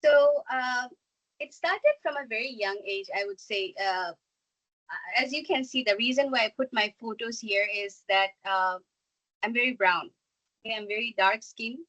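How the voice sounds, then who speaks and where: A woman's voice: a little high, moderate pace, fairly bright tone, muffled recording. A woman in her twenties from Bangladesh, speaking as a guest speaker on a video call in an online class. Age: 20s. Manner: chatty, apologetic about tech trouble.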